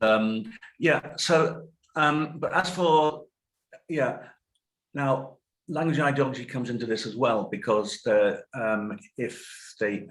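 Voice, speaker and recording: A man's voice: mid-range voice, a bit bright, rough recording. A man in his sixties, a guest lecturer answering audience questions over a video call, thoughtful and hedging as he corrects himself.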